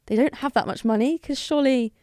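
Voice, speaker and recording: A woman's voice: high voice, fast, dry recording, studio quality. A young woman, a podcast guest, chatting casually, swearing freely and getting heated. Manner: animated, swears, gets heated. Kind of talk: casual chat.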